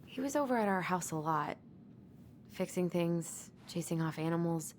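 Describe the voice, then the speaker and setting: A woman's voice: on the low side, animated, normal speed, slight background noise, lightly acted. An actress playing a determined, wounded young woman searching for answers in a video game, speaking earnestly and directly. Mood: earnest, resolved. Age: young adult. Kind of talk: naturalistic video game acting.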